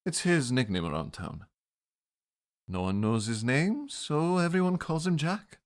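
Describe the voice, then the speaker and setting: A man's voice: fairly high pitch, sing-song, unhurried. Man acting an easygoing, hungover doctor. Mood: lazy, amused. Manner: laid-back, teasing. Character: easygoing, hungover, flirtatious male Victorian doctor. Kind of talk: audio drama acting.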